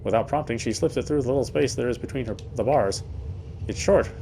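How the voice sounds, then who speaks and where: A man's voice: mid-range voice, fast, vocal fry, dark tone, a little hiss or hum. A man reading a horror game's first-person narration aloud in a dramatic storytelling style. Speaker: let's player reading game text aloud.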